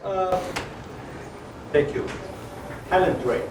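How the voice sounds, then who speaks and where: A man in the audience asking a halting question at a startup event. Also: high voice, a little mellow. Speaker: audience member. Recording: distant echoey hall with room noise.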